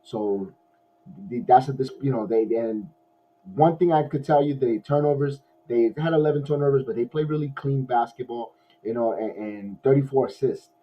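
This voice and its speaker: A man hosting a casual post-game sports podcast, his voice hoarse, rambling with many restarts like an excited fan. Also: medium pitch, moderate pace. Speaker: podcast host. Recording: dull lo-fi audio.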